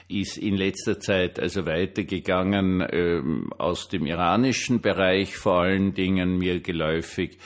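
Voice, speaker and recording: An older man with a Viennese Austrian accent, speaking as a pastor in an informal, hesitant but emphatic personal monologue. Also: deep voice. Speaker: pastor and online diary host.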